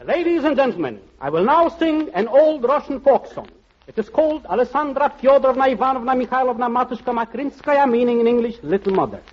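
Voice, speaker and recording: An actor playing a gallant, theatrical opera singer with formal grand manners in a 1940s radio comedy. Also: male voice, very high voice, sing-song, fast, heavily acted. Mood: grandly courteous. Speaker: singing comic actor in a radio show. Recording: muffled vintage radio recording.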